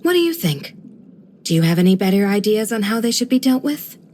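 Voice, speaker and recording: A woman voicing a serene, kindly guardian of a desert village in a video game, with a gentle, measured, formal storytelling delivery. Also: medium pitch, very animated, a little hiss or hum, lightly acted. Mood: calm, musing. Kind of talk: scripted video game character voice lines.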